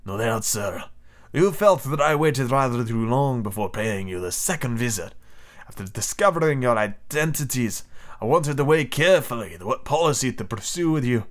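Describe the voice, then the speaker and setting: A man's voice: medium pitch, expressive, natural voice. Man doing an aloof commander, shifting foreign accent. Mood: grave, measured. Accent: shifting, inconsistent foreign accent. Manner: deliberate, formal, measured. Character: worldly, aloof male submarine commander, calm but wronged. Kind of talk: audiobook character voice.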